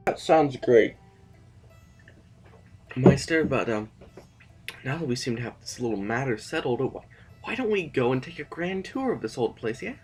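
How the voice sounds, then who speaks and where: A man's voice: mid-range voice, very animated, moderate pace, pauses often, a little mellow, natural voice. A man playing an affable, hesitant sovereign who rambles politely in a science-fiction audio drama. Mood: eager, flustered. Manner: chatty, hesitant, eager to smooth things over. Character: affable, rambling male sovereign of a space fiefdom.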